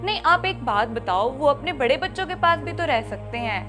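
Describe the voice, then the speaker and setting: A scheming young daughter-in-law, played by a woman, sly and boastful, in a web drama. Her voice is high and bright. Clear music runs behind every line. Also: lively intonation, moderate pace. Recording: background music under the voice.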